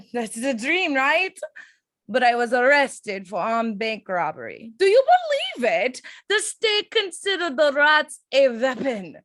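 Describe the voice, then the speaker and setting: Woman acting slow, lilting, wistful young immigrant. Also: sits high, sing-song, fairly bright tone, no room sound, clean studio sound, exaggerated voice. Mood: wry, earnest. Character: gentle sincere young woman immigrant rat-catcher, grieving her father. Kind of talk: amateur screenplay table read.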